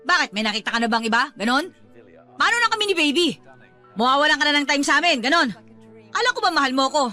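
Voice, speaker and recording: Babaeng gumaganap na matigas ang ulong batang ina, matinis. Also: female voice, high-pitched, expressive, quick pace, sharp tone, faint music behind. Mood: hurt, defensive. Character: stubborn, possessive young mother refusing to let her suitor go. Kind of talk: Filipino radio drama acting.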